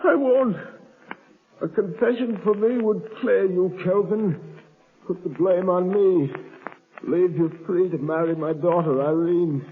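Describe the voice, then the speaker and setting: A man's voice: sits high, unhurried. An actor playing a defiant, weakened captive father in a 1930s radio drama. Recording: tinny old 1930s radio broadcast.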